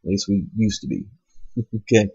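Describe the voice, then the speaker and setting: A man's voice: on the low side, moderate pace, a little mellow, slightly rough, no room sound. A man with a cold and a stuffed-up nose hosting his own show, ranting bluntly and profanely, rambling with lots of fillers. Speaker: host. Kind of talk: ranting monologue. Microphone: cheap microphone. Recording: lo-fi home setup.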